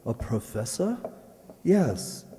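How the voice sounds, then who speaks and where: A man's voice: medium pitch, very animated, takes it slowly, dark tone, big-room sound. A man playing a polite, hesitant fugitive feigning innocence in an old-time radio drama. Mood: guarded, hesitant. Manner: hesitant, courteous. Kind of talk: 1940s radio acting.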